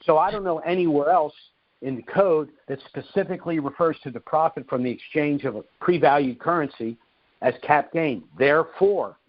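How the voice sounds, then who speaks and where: A man's voice: a little high, moderate pace. Man explaining on a muffled conference call. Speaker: host of a conference call answering questions. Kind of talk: explanatory question-and-answer talk. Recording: muffled, narrow-band conference call audio.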